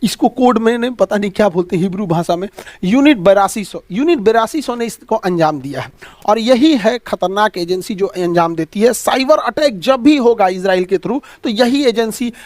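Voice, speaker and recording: A man's voice: sits high, animated, normal speed, darkish tone, no echo. A man from India with a Bihari accent gives an informal current affairs lecture, explaining colloquially with homely examples and rhetorical questions. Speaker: teacher.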